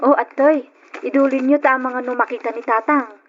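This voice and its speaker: A woman's voice: high voice, steady tone, fairly quick, muffled recording, a little hiss or hum, close to an everyday voice. A woman with an Ilocano accent playing a dutiful, devout, hardworking daughter in an old radio drama. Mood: earnest. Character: dutiful, devout, hardworking daughter supporting her poor family.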